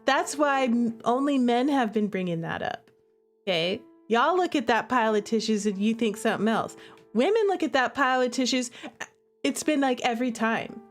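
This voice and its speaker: Playful high-voiced woman, music behind. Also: lively intonation, normal speed. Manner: playful, giggly, emphatic; repeats "okay?" and "all right?" as tags. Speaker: game developer streaming own game. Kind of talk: casual livestream commentary and chat. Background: background music.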